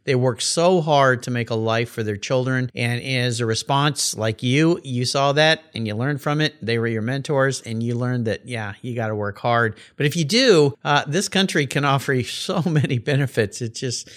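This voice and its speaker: A man's voice: mid-range voice, normal speed, few pauses. A man hosting a car podcast, interviewing a guest in an upbeat, enthusiastic way. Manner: upbeat, enthusiastic, lively delivery. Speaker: podcast host. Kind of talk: interview and read sponsor ads.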